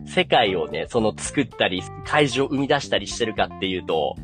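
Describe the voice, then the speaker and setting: Highly animated male podcast host over music. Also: moderate pace. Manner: animated, stretches key phrases for suspense. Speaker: podcast host explaining an anime. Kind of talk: casual podcast chat, storytelling explanation.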